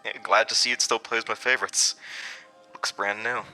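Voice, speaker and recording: A man's voice: fairly low pitch, flat delivery, normal speed, sharp tone, soft background music, poor audio. A man voicing a supernatural man in a hat in a horror audio drama, gloating, mocking and playfully showy.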